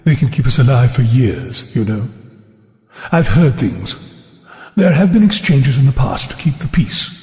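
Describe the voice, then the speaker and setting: An actor playing an anxious, bossy older prisoner who is fretful, snappish and dramatic, in an audio drama. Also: male voice, medium pitch, sing-song, measured pace, a little mellow. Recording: echoey reverberant chamber, music behind.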